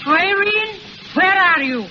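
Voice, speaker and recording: A woman playing an excitable, blunt middle-aged working-class mother in 1930s radio drama. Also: very high-pitched, slow, exaggerated voice. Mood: calling out. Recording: muffled noisy 1930s radio recording.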